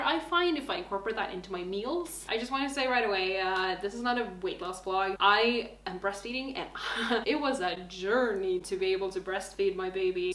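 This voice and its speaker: A woman's voice: mid-range voice, fairly bright tone, roomy sound. A Norwegian woman in her thirties with an accent leaning American, chatting candidly to camera with a self-deprecating, chatty manner. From Norway. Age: 30s. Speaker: vlogger. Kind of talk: casual chat to camera.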